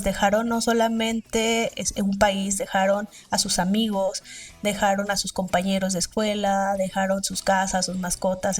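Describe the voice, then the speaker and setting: A woman with a Mexican accent speaks as an academic researcher in an interview. She explains her points through voiced examples. Her voice sits at a medium pitch, a little off the mic, with music behind it. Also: recorded from a distance.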